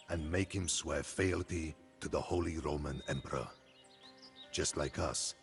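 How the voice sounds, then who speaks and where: Deep, gravelly, solemn warlord khan. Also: male voice, exaggerated voice. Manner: solemn, ominous, deliberate. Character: grave, vengeful Cuman khan recounting his people's war. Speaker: cutscene narrator. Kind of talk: dramatic scripted storytelling narration. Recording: music behind.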